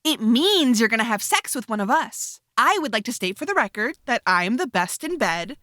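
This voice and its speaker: A woman's voice: fairly high pitch, big pitch swings, normal speed, pushed and bright, no echo, clean studio sound. A woman playing a brash, sarcastic and provocative young witch in an audio drama. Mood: brazen teasing. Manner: sarcastic, taunting.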